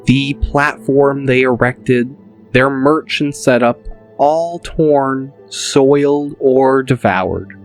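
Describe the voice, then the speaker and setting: A man narrating a dramatic audiobook in a deliberate, measured manner. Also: mid-range voice, soft background music.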